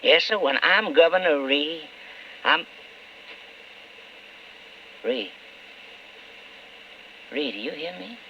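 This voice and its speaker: Forceful-voiced, wavering alcoholic radio-drama husband. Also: male voice, fairly high pitch, expressive, heavily acted. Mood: tipsy, rambling. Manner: halting, stammering, emotional delivery. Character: weak, wavering alcoholic husband and political candidate. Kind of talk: old-time radio drama acting. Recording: dull, faintly noisy old radio recording.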